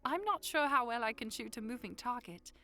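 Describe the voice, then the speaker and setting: A woman's voice: a little high, lively intonation, brisk, pushed and bright, faint music behind. A woman playing a polite, playful young princess in an audiodrama. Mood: polite, earnest. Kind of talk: audiodrama acting.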